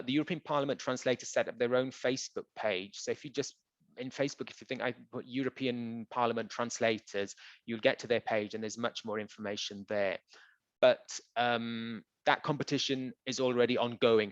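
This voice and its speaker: A man who works as a translator, answering audience questions in a relaxed, conversational way with frequent ums and uhs. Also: mid-range voice, no room sound. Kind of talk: conversational Q&A presentation. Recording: dull, dry lo-fi audio.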